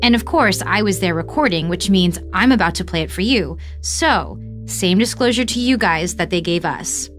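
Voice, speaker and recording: A woman's voice: medium pitch, animated, normal speed, hardly any pauses, soft background music, natural voice. An actress playing a curious, thoughtful woman podcaster, narrating an audio drama and confiding directly in the listener. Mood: intrigued, hushed.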